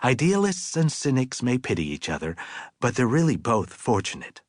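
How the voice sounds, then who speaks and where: A man's voice: mid-range voice, sing-song, moderate pace, dry recording, clean studio sound. A man voicing a middle-aged mother in an audiobook, wry and emphatic. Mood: musing. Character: middle-aged mother, musing, mildly exasperated.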